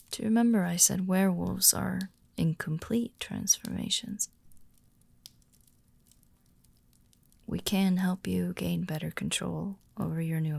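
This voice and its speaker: A woman performs a calm female werewolf of the pack in an intimate audio roleplay. Her voice is lowish and very gravelly, often sinking to a breathy near whisper. She speaks slowly and gently, reassuring a newcomer with care. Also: dry recording, exaggerated voice. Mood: soothing, reassuring. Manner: slow, gentle, reassuring, with deliberate pauses. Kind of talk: soft-spoken immersive ASMR-style audio roleplay.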